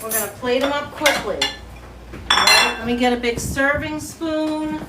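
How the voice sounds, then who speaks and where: A woman with a light Boston accent hosting a live cooking demo from her kitchen, chatty and excitable as she talks to viewers. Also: sits high, moderate pace, very noisy. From Boston area. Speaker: cooking show host. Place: home kitchen, indoors.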